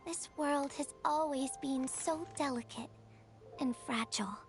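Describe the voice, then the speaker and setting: High-voiced, gentle young courier woman, game heroine. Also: measured pace, faint music behind. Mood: wistful, sorrowful. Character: gentle, playful young female courier, wistful and earnest. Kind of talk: video game voice acting.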